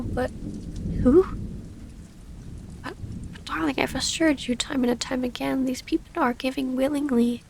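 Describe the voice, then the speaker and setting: A loving vampire lady, acted by a woman for an intimate ASMR romance. Her voice is high and breathy, often near a whisper, with a heavy creak at the edges. She speaks in formal, old-fashioned phrases, wistful and gently exasperated, over falling rain and faint noise. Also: rough recording. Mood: pleading. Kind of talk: ASMR romantic audio roleplay.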